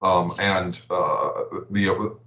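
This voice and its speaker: A man chatting on a livestream, halting and repeating words as he reads out game stats. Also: low voice, fairly even intonation, pushed and bright. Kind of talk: casual livestream chat. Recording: echoey room, dull lo-fi audio.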